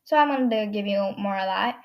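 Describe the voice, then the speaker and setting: A woman's voice: mid-range voice, brisk. A teenage girl hosting a podcast, telling a story in a rambling way with lots of fillers and self-corrections, her nose stuffed up. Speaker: podcast host. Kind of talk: casual storytelling monologue.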